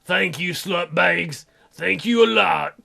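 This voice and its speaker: A man voicing a stubborn, hurting young man in a visual novel, slow, halting and dramatic. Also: sits high, creaky, sharp tone, heavily acted. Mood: softened.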